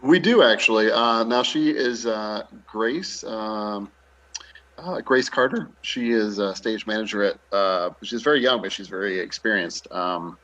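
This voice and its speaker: Man, low voice, easygoing theatre director-actor giving informal interview answers. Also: fairly bright tone, poor audio. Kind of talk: casual interview talk.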